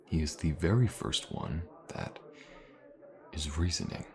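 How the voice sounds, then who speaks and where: Gruff, loyal male sellsword played by a man, fantasy audio drama. Rock-bottom register, unhurried, wry and measured. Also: very deep voice, expressive, crowd noise, full character voice. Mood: reflective, relaxed. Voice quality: gravelly. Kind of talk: intimate fantasy audio roleplay acting.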